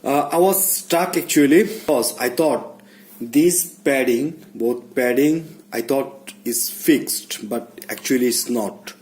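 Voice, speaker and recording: A man giving a casual hands-on tutorial, speaking haltingly and muttering to himself as he works indoors. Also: mid-range voice, darkish tone. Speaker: DIY vlogger. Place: small indoor room. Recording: background noise, room ambience.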